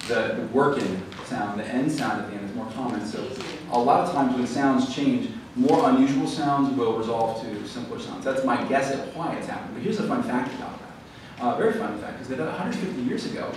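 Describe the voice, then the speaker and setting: A man, an author at a library event, answering audience questions in a thoughtful, explanatory way with frequent fillers and restarts. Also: mid-range voice. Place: library event hall, recorded from the room. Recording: echoey hall, far from the mic, audible room noise.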